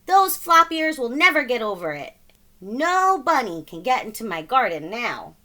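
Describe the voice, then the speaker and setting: Woman playing an excitable, huffy man gardener, bright-voiced. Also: a little high, unhurried, heavily acted. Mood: huffy, defiant. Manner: emphatic, exclaiming, huffing.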